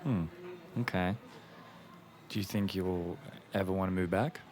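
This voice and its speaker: A man from Australia playing a friendly, attentive young doctor on a date in an audio drama, casual and gently hesitant. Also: low voice, unhurried. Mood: friendly, curious. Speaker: actor playing the love interest. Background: music.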